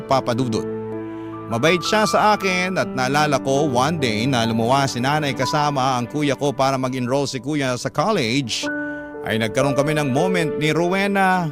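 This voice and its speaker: A man narrating a listener's letter as a scripted story on a Filipino radio drama program. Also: medium pitch. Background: instrumental background music.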